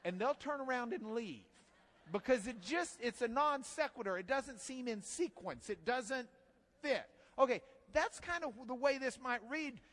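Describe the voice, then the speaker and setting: A man's voice: very high-pitched, lively intonation, measured pace, fairly bright tone. A man preaching an expository sermon in a conversational, folksy way that builds to emphatic bursts, in a church sanctuary. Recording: long hall reverb.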